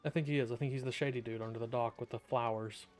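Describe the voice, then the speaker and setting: A man's voice: medium pitch, fairly even intonation, quick pace, a little mellow, soft background music. A young man giving casual, joking and offhand commentary while streaming a video game.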